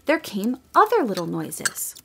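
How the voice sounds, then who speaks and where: A woman narrating a storybook audio drama in a gentle, measured way. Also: medium pitch, huge pitch swings, gravelly, noisy background.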